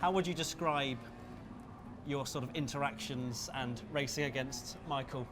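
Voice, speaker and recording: A man's voice: mid-range voice, normal speed. A man hosting a casual interview while chatting beside a racing game. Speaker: interviewer and host. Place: racing simulator at a busy gaming event. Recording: clear vehicle and ambient background noise.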